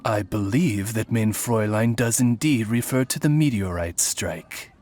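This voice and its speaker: A man voicing a polite, courtly talking raven familiar in a video game, calm and formal. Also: fairly low pitch, a little slow, close to an everyday voice. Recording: game audio with music behind.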